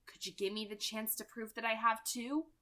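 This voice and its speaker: Woman reading as earnest teenage boy, bright-voiced. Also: a little high, fairly even intonation, moderate pace. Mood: reassuring. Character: gentle, intense seventeen-year-old immortal young man, protective.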